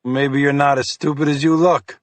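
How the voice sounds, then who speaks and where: A man's voice: fairly high pitch, flat delivery, normal speed, no echo, voice pushed up, close to an everyday voice. A man voicing a blunt, scolding, tubby middle-aged male FBI agent with a Boston accent in an audiobook. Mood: gruff irritated. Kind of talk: audiobook character voice.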